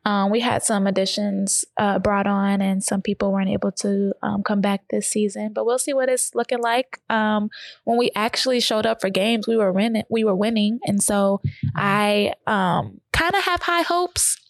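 A woman with a high voice co-hosting a podcast in casual, informal conversation. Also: normal speed, no echo. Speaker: podcast co-host. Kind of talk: casual banter.

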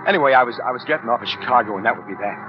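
An actor playing a brooding, tender-hearted drifter who narrates his past in a halting, plain-spoken 1940s radio drama style. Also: mid-range voice, natural voice. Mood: wistful, tender. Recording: muffled vintage 1940s radio, music behind.

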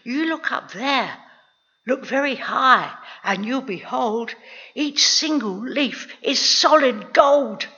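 Excitable young boy acted by an adult reader, high bright voice, eager cheeky protests, storybook verse. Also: measured pace, lo-fi sound, heavily acted. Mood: eager insistence. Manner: breathless exclamations, eager protesting.

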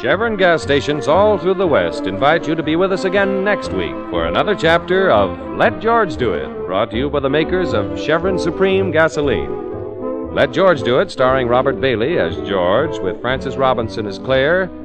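A man's voice: mid-range voice, very animated, normal speed, loud music behind the voice, low-quality recording. A man announcing in 1940s radio style, with a polished, folksy sales delivery.

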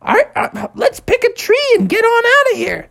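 A woman's voice: very high-pitched, lively intonation, moderate pace, gravelly, a bit bright, pitched above the performer's own voice, exaggerated voice. A woman voicing Froggy, an impatient young boy frog who whines and complains. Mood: whiny.

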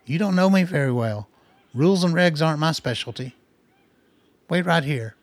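Radio drama doctor, male actor: careful peacemaker, fairly high male voice, calm and reasonable, dry studio sound. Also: normal speed, no echo, natural voice. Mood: measured, placating. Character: measured, conciliatory male doctor, calming tense situations. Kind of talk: radio play acting.

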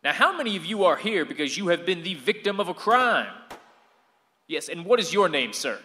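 An actor playing a glib, showy gun-safety instructor addressing a class in a radio play. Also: male voice, high voice, very animated, moderate pace, bright, forceful tone, full character voice. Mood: hearty, declamatory. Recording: reverberant hall sound.

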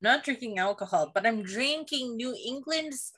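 A woman's voice: on the high side, animated, normal speed, fairly bright tone, husky. A woman co-hosting a podcast with casual, teasing, giggly banter. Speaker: podcast co-host.

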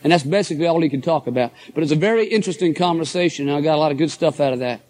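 A middle-aged man with a hoarse voice, giving an enthusiastic, folksy motivational talk to a live audience. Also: on the high side, racing, darkish tone. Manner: folksy, enthusiastic, addresses crowd as guys and folks. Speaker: motivational speaker at a business convention.

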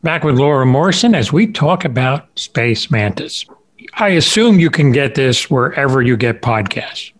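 A man's voice: medium pitch, sing-song, moderate pace. A man hosting a podcast, interviewing a guest in a casual, conversational style.

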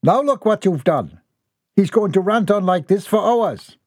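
A man voicing a brisk, exasperated housekeeper who fusses and bosses others about. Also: high-pitched, lively intonation, darkish tone, dry recording, studio quality, voice pushed up. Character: woman, brisk fussing housekeeper.

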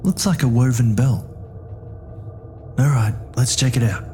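A man's voice: medium pitch, a little slow, dark tone, a little hiss or hum. A man hosting a story podcast in character, talking casually as if guiding a companion through an audio drama. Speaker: host and guide of a story podcast.

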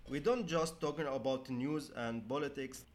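A man from Syria who teaches Arabic, co-hosting a podcast and speaking slowly and carefully. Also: medium pitch.

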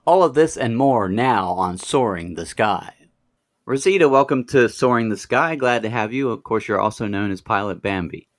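A man's voice: lowish voice, expressive, normal speed. An American man hosting a gliding podcast, reading the intro steadily and then interviewing a guest. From United States. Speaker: podcast host.

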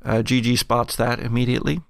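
Guy running a tabletop game, really gravelly fry in his voice, halting descriptions, super dry sound. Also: mid-range voice, little pitch movement, no room sound. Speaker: game master. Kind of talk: improvised narration of a tabletop game.

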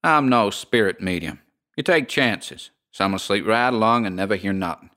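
A man voicing a coolly unbothered landlady of a haunted boarding house, with a metallic voice and a slow folksy drawl. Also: on the low side, expressive, a bit bright.

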